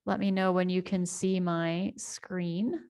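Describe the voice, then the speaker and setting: A middle-aged woman from the United States with an American accent, speaking to a community town hall over a video call. Her voice sits on the low side and carries a gravelly fry. She tells her story easily, with frequent ums and self-corrections. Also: fairly even intonation, takes it slowly, darkish tone. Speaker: community presenter. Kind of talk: online town hall presentation.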